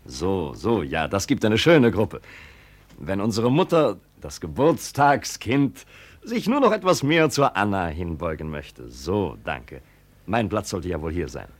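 An actor in a 1950 radio play, playing a pompous, scornful farmer with a self-important, theatrical way of talking. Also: male voice, deep voice, sing-song, measured pace, breathy edge, full character voice. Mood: bossy. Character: pompous, scornful farmer hosting a family birthday.

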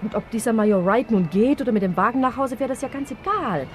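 An actress playing a witty, sceptical wife with an ironic, teasing manner in a 1960s German radio crime play. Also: female voice, on the high side, animated, fast talker, close to an everyday voice. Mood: dry, ironic. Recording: faintly noisy early-1960s radio recording.

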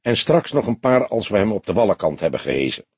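A man's voice: on the low side, very animated, fast talker, darkish tone, breathy edge, no room sound, heavily acted. A man voicing a patient, mocking, authoritative grey-haired old police detective in an audiobook. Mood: firm, teasing. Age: older.

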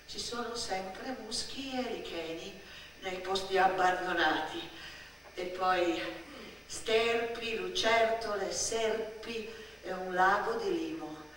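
A woman in a theatrical radio monologue, playing a dramatic, brooding little girl who speaks poetically in deliberate short phrases. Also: medium pitch, a bit bright. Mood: eerie wonder. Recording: echoey stage sound, distant, faint noise.

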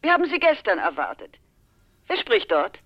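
An actress playing a nurse speaking over the phone in a radio play. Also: female voice, mid-range voice, huge pitch swings, moderate pace, breathy edge. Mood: concerned. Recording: tinny phone line.

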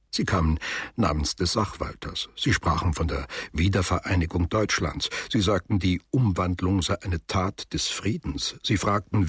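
A man's voice: low-pitched, breathy edge, dry recording, studio quality. A man reading literary prose as the scripted narrator of a radio drama.

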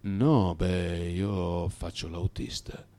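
A man's voice: low-pitched, level tone, warm tone, pitched below the performer's own voice, heavily acted. A man voicing a meek, put-out male driver who speaks hesitantly, in a radio monologue. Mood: sheepish. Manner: hesitant, halting.

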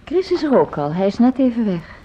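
An actress playing a gentle, ailing older mother in a radio drama, soft-spoken and reassuring. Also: on the high side, sing-song, a little fast. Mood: gentle, pensive. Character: gentle ailing older mother of grown children, downplaying her illness. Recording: dull lo-fi radio play recording.

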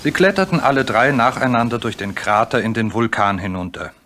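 A man's voice: medium pitch, fairly quick, a bit bright. A man narrating a children's story from a script as part of a radio play.

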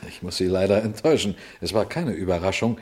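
An actor playing a calm, shrewd police detective in a German radio crime drama, speaking deliberately with dry irony. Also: male voice, low-pitched, breathy edge. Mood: composed, ironic. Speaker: detective narrating his own case.

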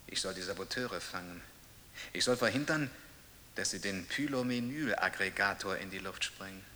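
Bright, low-pitched, solemn man in long reverb. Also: moderate pace. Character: bewildered man, self-proclaimed dead hero, earnest. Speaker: actor. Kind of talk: German radio play monologue and dialogue. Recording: long reverb, audible hiss.

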